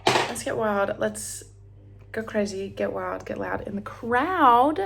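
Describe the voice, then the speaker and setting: Chatty Australian woman with a gravelly edge. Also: medium pitch, expressive, takes it slowly, very noisy. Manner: chatty, rambling, sings snatches of songs, drops into whispers. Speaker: beauty vlogger. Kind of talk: casual get-ready-with-me chat.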